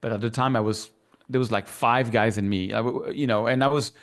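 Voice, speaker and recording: A middle-aged man with a French accent talks as an interview guest. His pitch sits in the middle range for a man. He rambles with real enthusiasm, restarting his sentences and leaning on fillers. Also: steady tone, brisk. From France. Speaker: guest photographer. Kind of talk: casual interview conversation.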